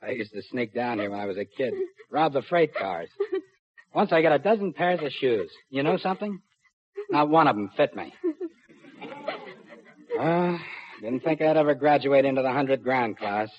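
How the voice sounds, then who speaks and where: An actor playing a cruel, cocky kidnapper in a 1950s radio drama, with a rough voice and taunting tough-guy slang. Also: medium pitch, animated, moderate pace, lightly acted. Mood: gloating, taunting. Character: sneering, cruel kidnapper, cocky small-time crook turned big. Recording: muffled 1950s radio recording.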